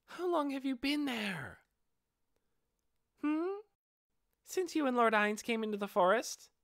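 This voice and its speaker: A man acts out a young dark elf girl for an audiobook. The voice is pitched high and swings animatedly, recorded close and dry. She comes across as perky, eager and loyal, deferential to the master she serves. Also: sing-song, slow, creaky, dry recording, exaggerated voice. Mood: playful. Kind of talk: audiobook character voice.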